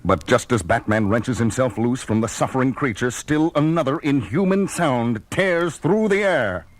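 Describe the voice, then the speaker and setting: An actor playing a florid, theatrical adventure narrator in a 1970s superhero record drama. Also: male voice, mid-range voice, expressive, normal speed, no echo, natural voice. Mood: dramatic. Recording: music bed under voice.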